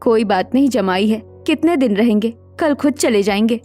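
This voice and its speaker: A woman acts out an older mother-in-law in a poor cartoon family. She is firm yet appeasing, her voice pitched down, with music underneath. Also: expressive, moderate pace, darkish tone, natural voice. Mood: firm, resigned. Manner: firm, placating.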